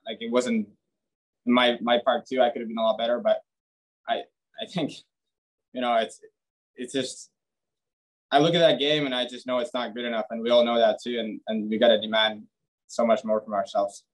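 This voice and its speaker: A man's voice: medium pitch, level tone, moderate pace, pauses often, low-quality recording. A young man, a hockey player, answering reporters in a post-game video interview, blunt and plain-spoken with frequent restarts. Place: team media room, press backdrop, indoors.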